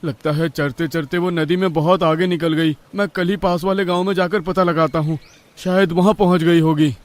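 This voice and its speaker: Man acting a high-voiced, anxious young servant herdsman. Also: fairly even intonation, normal speed, close to an everyday voice. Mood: anxious, pleading. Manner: pleading, deferential. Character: poor, honest, anxious village herdsman servant. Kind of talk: Hindi horror story character dialogue.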